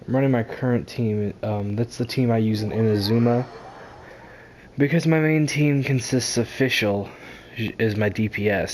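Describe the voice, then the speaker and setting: A man's voice: on the low side, a little mellow, a little hiss or hum. A young man giving casual, blunt and sarcastic reaction commentary as he plays through a video game, swearing freely. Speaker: gamer recording a playthrough. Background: video game sound.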